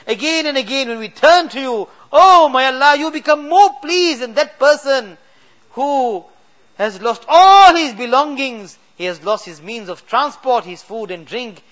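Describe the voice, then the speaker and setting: A man's voice: very high voice, lively intonation, unhurried, fairly bright tone. A man leading a fervent late-night supplication as an imam, pleading with constant repeated refrains. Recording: dull lo-fi recording.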